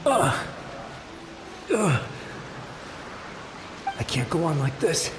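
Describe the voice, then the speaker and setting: An exhausted soldier's ghost for a video game. The fairly high male voice drags slowly and wearily through groans, washed in echo. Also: big pitch swings, full character voice. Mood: despairing. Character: weary, despairing ghostly soldier. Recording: echoing, with clear background noise.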